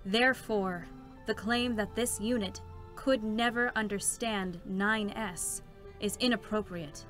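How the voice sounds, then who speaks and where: A woman's voice: medium pitch, slow pace. Woman voicing a coldly clinical support robot. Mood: clinical, detached. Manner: flat, formal, clipped announcements. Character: cold, clinical female support robot, relentlessly procedural. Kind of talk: dramatic stage reading of a game story. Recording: music behind the voice.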